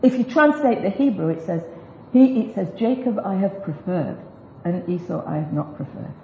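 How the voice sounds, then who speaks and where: A woman teaches a group in a relaxed, chatty way, tossing in self-deprecating jokes as she goes. The recording is roomy and echoey, with a dull, lo-fi sound and a faint hiss underneath. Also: medium pitch, normal speed. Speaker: speaker teaching a group about biblical Hebrew. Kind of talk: informal Bible teaching talk.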